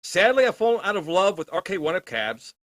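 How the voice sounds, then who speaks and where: A man with a fairly high voice that swings widely in pitch. He chats informally as a gaming show co-host, and the sound is dry. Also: fairly quick, dry recording. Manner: animated, informal. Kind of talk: casual livestream chat.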